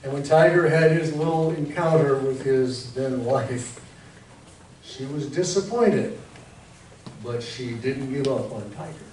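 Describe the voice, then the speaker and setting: An American man in his sixties preaching a sermon as a pastor, telling it conversationally in short, deliberate phrases. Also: medium pitch, a little mellow. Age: 60s. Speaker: Lutheran pastor. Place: church sanctuary in front of a congregation. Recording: very echoey church hall, distant mic, faint noise.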